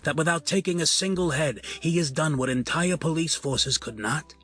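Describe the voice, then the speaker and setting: A man playing a proud, dignified crime family patriarch in an audio drama, speaking formally and with measured gravity. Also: a little high, a bit bright, slightly rough, faint music behind. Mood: grave, accusing.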